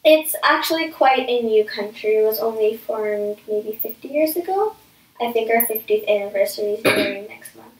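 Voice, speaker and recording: A woman's voice: a little high, lively intonation, moderate pace. A woman answering as an interview guest in an explanatory way, recorded at a distance in an echoey room.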